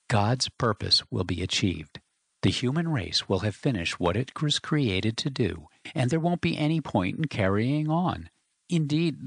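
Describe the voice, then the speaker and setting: A man's voice: lowish voice, gravelly, slightly rough, no echo, studio quality, pitched above the performer's own voice, heavily acted. A man voicing a worried young engineer who talks urgently and uneasily.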